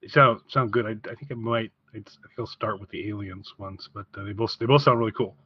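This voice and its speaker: A man co-hosting a podcast in casual conversation, speaking haltingly and repeating words as he goes. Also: lowish voice, steady tone, husky, dry recording, lo-fi sound. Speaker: podcast co-host.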